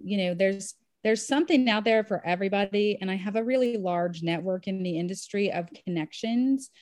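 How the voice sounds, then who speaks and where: A woman's voice: mid-range voice, a little fast, a little mellow, no room sound, studio quality. A woman physician appearing as a podcast guest. She speaks in an upbeat, enthusiastic, conversational way. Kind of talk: casual interview talk. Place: home in Nashville.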